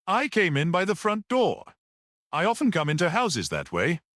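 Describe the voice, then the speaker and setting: A man voicing a mild, quietly grave little priest-detective in an audiobook, gentle and matter-of-fact. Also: sits high, huge pitch swings, normal speed, no room sound, clean studio sound, voice pushed up, exaggerated voice. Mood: mildly grave.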